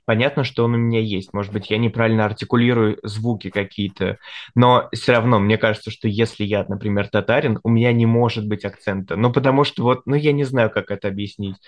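A young man talking in a casual podcast interview, reflective and often searching for words. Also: lowish voice. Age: young adult. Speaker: podcast guest, university student.

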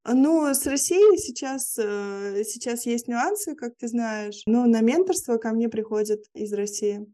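Woman, from Lithuania, mellow tone, reflective, interview guest. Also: on the high side, a little slow. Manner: reflective, searching for words. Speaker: PR specialist and journalist, guest. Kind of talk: casual interview conversation.

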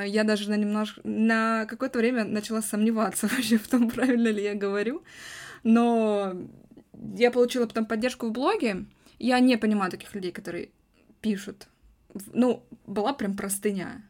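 A woman hosting a podcast and telling stories from her teaching work in a chatty, unhurried way. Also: mid-range voice. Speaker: podcast host and English teacher. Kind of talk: casual podcast conversation.

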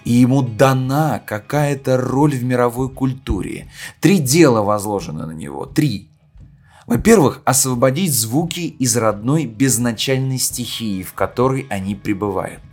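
A man playing a solemn poet, reciting a grave philosophical oration in a measured, declamatory way with emphatic pauses. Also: medium pitch, lively intonation, a little mellow, lightly acted. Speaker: reader of a poet's speech. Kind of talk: dramatic literary recitation in an audio play. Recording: music under the voice. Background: music.

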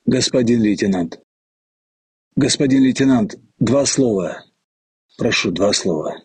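A man's voice: mid-range voice, lively intonation, unhurried, a little mellow, husky, dry recording. An actor playing a captured German colonel, a loyal adjutant, formal and deferential as he insistently pleads in a radio play. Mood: pleading. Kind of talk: Russian radio play acting.

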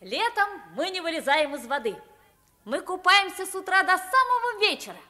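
A woman's voice: very high voice, normal speed, full character voice. A woman playing a poor, eager and deferential young London street boy in a radio play. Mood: eager, lively. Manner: polite and deferential, animated when describing games. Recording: vintage 1950s radio play recording.

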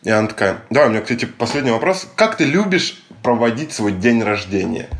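A man's voice: medium pitch, fast. A young man co-hosting a casual podcast, with a voice in the middle of the range. He tells his story with animation, acting out other people's lines and swearing freely. The tone stays loose and conversational throughout. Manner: animated retelling with acted-out quotes and heavy swearing. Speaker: podcast co-host.

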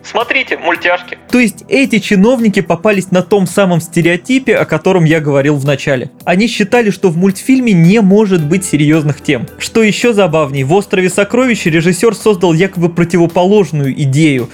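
A man's voice: high voice, expressive, brisk, few pauses, faint music behind. A man presenting scripted film commentary as a critic, with conversational asides.

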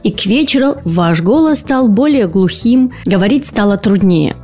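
A woman's voice: mid-range voice, expressive, moderate pace, warm tone, faint music behind. A woman speech and voice therapist hosting an educational podcast, explaining patiently and posing rhetorical questions to her listeners.

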